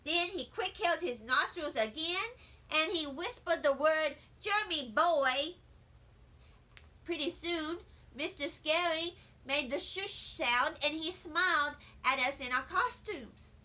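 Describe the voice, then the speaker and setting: High, bright children's story reader. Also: female voice, unhurried. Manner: chatty, wide-eyed childlike narration. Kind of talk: children's book read-aloud in first-person child voice.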